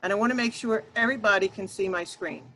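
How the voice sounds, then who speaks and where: A woman presenting an online workshop over a video call, talking loudly and emphatically and addressing her audience directly. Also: mid-range voice, fast, a little hiss or hum, low-quality recording.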